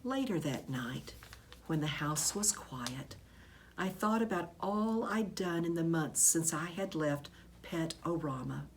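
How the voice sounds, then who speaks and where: Female storybook reader, teacherly and expressive, unhurried, slightly low pitch, noticeable background noise. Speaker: teacher reading a children's book aloud.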